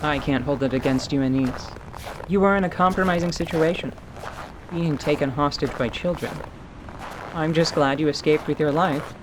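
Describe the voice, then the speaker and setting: A woman plays a female mercenary leader in a fantasy radio drama. She is deliberate and commanding, with dry, dark humor delivered deadpan. Noticeable noise sits behind the voice. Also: low-pitched, close to an everyday voice. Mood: dry, curious. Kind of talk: radio theatre character acting.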